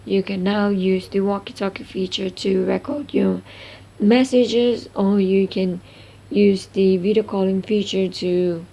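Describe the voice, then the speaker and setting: Woman doing an app how-to, soft dark tone, bit of vocal fry, kinda halting as she explains. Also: medium pitch, fairly even intonation. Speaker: tutorial host. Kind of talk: step-by-step app tutorial narration.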